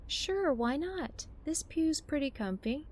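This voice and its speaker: Wry, higher-voiced young woman. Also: animated, slow pace, a little mellow. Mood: wry, teasing. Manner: wry, informal, teasing. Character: teasing, offhand young woman, curious and unchurched. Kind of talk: casual conversational audio drama acting.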